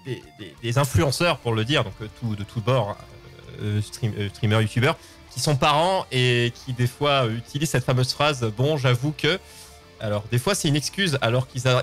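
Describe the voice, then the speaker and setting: A man's voice: on the high side, a bit bright. A man, hosting a casual live show and rambling, often repeating words. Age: 20s. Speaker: host of a live open-line show. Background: music.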